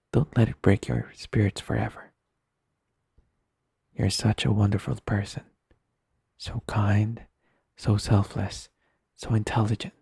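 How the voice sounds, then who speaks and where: A man playing a gentle, caring best friend, speaking in a soft, breathy voice with soothing, earnest, unhurried delivery in an intimate comfort roleplay over music. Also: lowish voice, no echo, exaggerated voice. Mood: tender, reassuring. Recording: music under the voice. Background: soft instrumental music.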